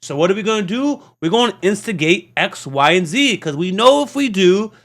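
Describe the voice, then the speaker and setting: A man's voice: high voice, lively intonation, normal speed, a bit bright. A man hosting a reaction video, talking in a preachy, earnest way, often restarting and stammering. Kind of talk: casual rambling monologue.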